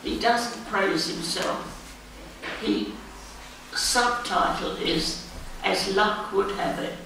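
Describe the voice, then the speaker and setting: A woman speaking slowly and graciously to schoolchildren as a guest speaker. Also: medium pitch, vocal fry. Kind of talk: talk and Q&A with schoolchildren. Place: school hall. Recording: echoey hall from far off, background noise.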